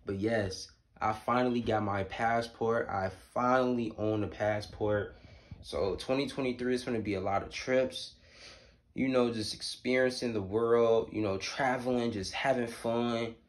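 A man's voice: mid-range voice, steady tone, a little slow. A twenty-year-old American man chatting casually to camera in a slangy, emphatic vlog style, repeating phrases for emphasis. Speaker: YouTube vlogger. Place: small indoor room at home. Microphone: phone or camera at arm's length.

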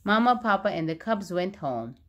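A woman narrating a children's storybook aloud, expressively and with clear phrasing for young listeners. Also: mid-range voice, sing-song, moderate pace, lo-fi sound.

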